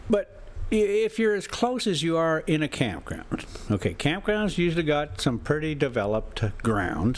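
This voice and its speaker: Male American vlogger-storyteller. Down-home and informal, medium pitch with big melodic swings, easygoing amateur delivery. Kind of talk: casual rambling chat mixed with reading a classic novel aloud.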